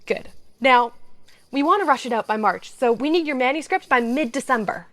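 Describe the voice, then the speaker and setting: An actress playing a pushy, upbeat book publisher in an audio drama, brisk and persuasive. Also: female voice, high voice, expressive, fairly bright tone. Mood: pushy, enthusiastic.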